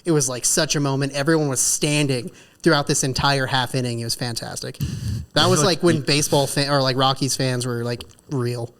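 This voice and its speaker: A man's voice: medium pitch, fairly quick, a bit bright, clean tone. A man chatting informally as one of the panel on a podcast. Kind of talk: casual chat.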